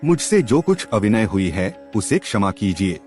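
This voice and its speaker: A man's voice: on the low side, sing-song, measured pace, a little mellow. A man voicing a principled young police inspector, modest, deferential and grave, in a narrated story. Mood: humble, grave. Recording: music under the voice.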